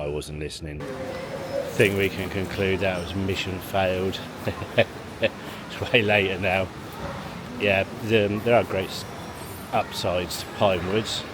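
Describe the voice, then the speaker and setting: A man chatting casually to camera in a family vlog, rambling and chuckling between remarks. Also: low-pitched. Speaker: family vlogger. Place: outdoors in a car park, then at a leisure pool. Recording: handheld vlog with loud background noise.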